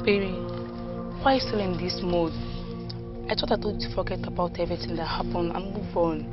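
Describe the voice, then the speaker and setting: Actress doing dramatic nigerian pregnant wife, quick accusing talk, muffled with loud music behind. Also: female voice, lowish voice, steady tone, natural voice. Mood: defensive. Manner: accusing, plaintive, repetitive. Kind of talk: film drama acting.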